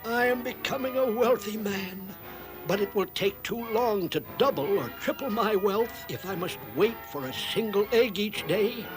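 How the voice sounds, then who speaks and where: A man playing greedy, foolish cartoon fable characters in an exaggerated, drawn-out, exclamatory way. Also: sits high, over music, natural voice, poor audio. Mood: self-satisfied. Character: greedy, gloating wolf and foolish greedy farmer. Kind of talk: theatrical cartoon fable acting.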